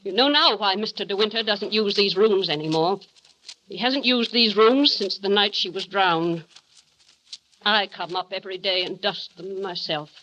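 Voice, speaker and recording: An actress playing an obsessive, possessive housekeeper in a vintage radio drama, coaxing and insistent. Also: female voice, medium pitch, normal speed, fairly bright tone, a little hiss or hum, lightly acted. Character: obsessive, possessive middle-aged housekeeper woman, coldly devoted. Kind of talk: 1940s radio acting. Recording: dull vintage radio recording.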